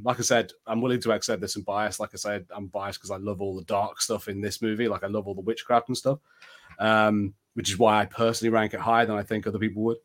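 Casual man chatting on a podcast. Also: on the low side. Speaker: podcast co-host. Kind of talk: casual chat.

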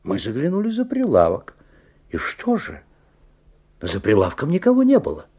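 An actor playing a wary, observant father who narrates a strange tale expressively, with theatrical pauses. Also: on the high side, huge pitch swings, noisy background. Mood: wondering, uneasy. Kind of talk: dramatic literary narration. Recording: 1970s audio play, music behind.

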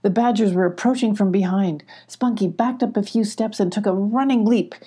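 A woman's voice: medium pitch, moderate pace, warm tone, slightly rough. A woman reading a children's story aloud as an audiobook narrator.

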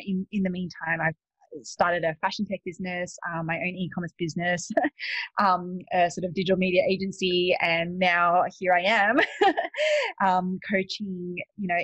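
Woman, Australian accent, husky, chatty, frequent laughs, dull video-call audio. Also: fairly low pitch, dry recording. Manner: chatty, laughs often, many fillers. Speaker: guest business coach. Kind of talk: casual webinar talk. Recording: dull lo-fi dry sound.

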